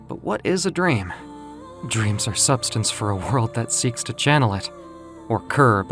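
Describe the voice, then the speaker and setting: A man playing an ancient, weakened winged muse in an audio comic drama, speaking in grave, deliberate oratory. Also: mid-range voice, natural voice. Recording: music behind the voice. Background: music.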